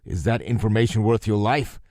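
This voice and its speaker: A man's voice: fairly low pitch, brisk, a little mellow, no room sound, studio quality, pitched above the performer's own voice, natural voice. A man voicing a ruthless, sarcastic male officer who barks commands. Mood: menacing. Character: gruff hard-edged male officer, ruthless.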